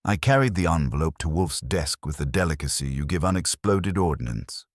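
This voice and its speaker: A man reading detective fiction in the first person as a narrator, with wry, measured storytelling. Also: a bass voice, animated, dry recording, clean studio sound. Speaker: audiobook narrator.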